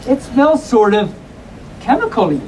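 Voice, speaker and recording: A woman voicing an innocent storybook bear cub in a slow, earnest manner, heard from a distant mic with a crowd. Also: a little high, wildly swooping pitch, mellow, a little hiss or hum. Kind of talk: storybook read-aloud.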